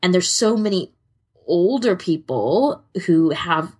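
Husky-voiced woman chatting casually. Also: on the low side, very animated, slow pace, a little mellow. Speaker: podcast co-host. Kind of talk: casual chat about fan fiction audio readings.

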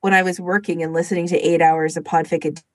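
A woman's voice: lowish voice, little pitch movement, fast, no echo. A woman co-hosting a podcast, chatting casually and peppering her talk with "like". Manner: chatty, frequent "like" as filler. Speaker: podcast host. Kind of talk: casual chat among fan podcasters.

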